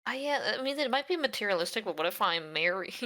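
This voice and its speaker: A woman around thirty chats as a player in a tabletop game session. Her voice is bright and sits at an average pitch, and her delivery is casual and playful, with a giggly way of talking. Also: animated, a little fast. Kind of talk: casual chat while making a game character.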